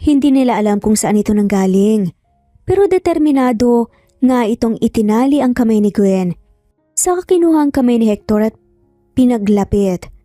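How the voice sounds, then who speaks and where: A woman's voice: on the high side, expressive, normal speed, dark tone, dry recording, clean studio sound, soft background music. A woman narrating a dramatized romance story as a storyteller.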